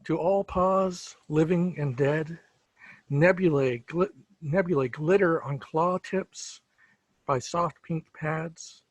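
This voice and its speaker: A husky man reads poetry at a slow, measured pace. His voice sits slightly high, and the sound is close and dry. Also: dry recording. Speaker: poetry reader. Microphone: video call.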